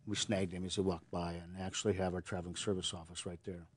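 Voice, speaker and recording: A man's voice: low voice, flat delivery, fast talker, no echo. A man in his sixties from Montana, a veterans service officer answering interview questions earnestly, often repeating words as he starts a thought.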